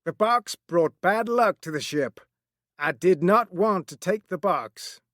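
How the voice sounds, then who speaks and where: A man reading aloud plays a stern sea captain who barks orders in a raised, high-pitched voice. His delivery swings widely in pitch, and he sounds like he is calling out across a deck. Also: expressive, takes it slowly, dry recording, clean studio sound, full character voice. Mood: grave recounting. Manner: shouting orders over a storm.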